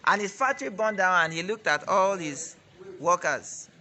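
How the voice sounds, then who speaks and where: A man's voice: sits high, expressive, moderate pace, sharp tone, slight background noise. A Nigerian man in his twenties with a Nigerian accent, giving an emphatic, preachy testimony as a network marketing director in an interview. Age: 20s.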